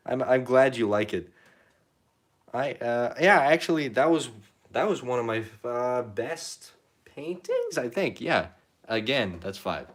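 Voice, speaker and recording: A young man chatting casually while painting on a live stream, rambling and self-deprecating, his pitch rising at the ends of phrases. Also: sing-song, slow pace, long pauses. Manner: rambling, self-deprecating, reads chat comments aloud. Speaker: painting livestreamer.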